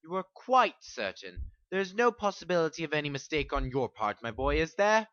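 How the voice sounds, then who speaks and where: Woman doing an anxious young gentleman. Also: low voice, big pitch swings, moderate pace, no echo, highs cut off, voice pushed down. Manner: urgent and tremulous.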